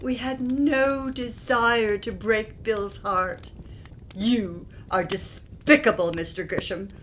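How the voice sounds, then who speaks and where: A woman acting a dignified, reproachful married lady with a measured, formal manner in an audio play. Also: a little high, fairly bright tone, a little hiss or hum, poor audio. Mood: dignified, wounded.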